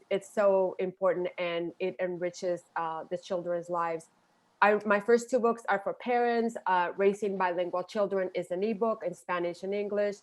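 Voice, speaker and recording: A woman from Rico, a children's book author cheerfully presenting her books on a video call from home through earphones with a mic. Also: mid-range voice. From Puerto Rico. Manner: cheerful, animated. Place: home room.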